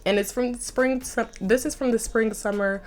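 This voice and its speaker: A woman's voice: a little high, steady tone, normal speed. A young woman with a stuffy nose from a slight cold, chatting enthusiastically to camera in a casual fashion vlog. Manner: chatty and enthusiastic, says "like" often. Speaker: fashion vlogger.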